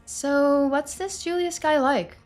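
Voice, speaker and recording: A woman acts out a polite small-town woman for a story game. The voice sits raised and soft-hearted, with a folksy, reassuring manner and a touch of hesitation. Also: sits high, slow, faint music behind, pitched above the performer's own voice. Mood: curious, defensive.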